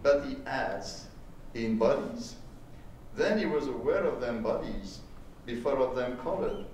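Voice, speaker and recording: A man giving a live literary recitation, phrased deliberately in short, halting fragments. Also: mid-range voice, expressive, a little mellow. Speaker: performer reading at a book launch. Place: indoor launch performance, live event. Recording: very echoey room, distant mic, faint noise.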